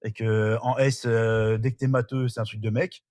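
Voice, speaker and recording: A man's voice: on the low side, level tone, moderate pace, a little mellow, no room sound. A middle-aged man from northern France, a podcast guest telling his life story casually, with many fillers and restarts. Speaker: interview guest.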